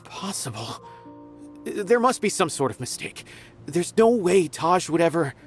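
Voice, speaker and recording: An earnest young guard, loyal and stern, asking firm questions. His voice sits a little high and moves a lot, with soft game music underneath. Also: big pitch swings, unhurried, breathy edge, full character voice. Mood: disbelieving. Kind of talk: game dialogue. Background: game soundtrack.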